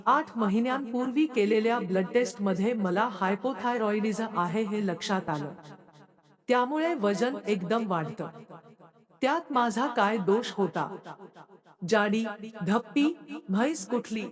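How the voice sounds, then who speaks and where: A woman's voice: mid-range voice, expressive, a little slow, big-room sound, crowd noise, close to an everyday voice. A woman voicing an anguished estranged wife in a plaintive, confessional letter reading. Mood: hurt, reproachful. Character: hurt, anguished estranged young wife writing farewell letter.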